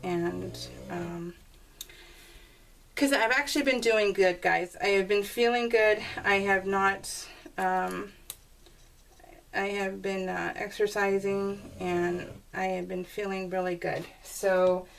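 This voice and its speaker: Female craft vlogger, chatty and informal, mid-pitched voice, recorded a little away from the mic. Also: slow, vocal fry, far from the mic, a little hiss or hum. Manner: chatty, animated when retelling conversations. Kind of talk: casual chat, rambling personal storytelling over a craft process video. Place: indoors at a craft table.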